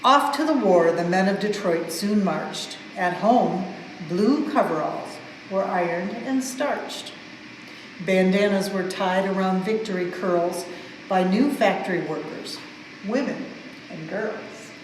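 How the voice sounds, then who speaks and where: A woman reads a storybook aloud for children with expressive, measured emphasis on the rhymes. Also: mid-range voice. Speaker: museum staff member reading a story aloud. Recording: very echoey large hall, some noise.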